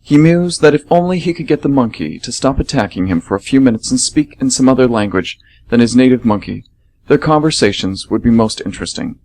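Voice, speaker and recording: A man's voice: medium pitch, expressive, fairly quick, a little mellow. A man reading scripted story narration for a radio theatre piece. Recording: faint background noise.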